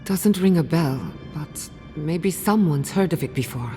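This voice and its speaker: A woman playing an inquisitive, wry scholar in a fantasy video game, speaking in an analytical, expressive way. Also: low voice, measured pace. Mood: thoughtful, resolute. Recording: reverberant hall, music underneath. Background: music.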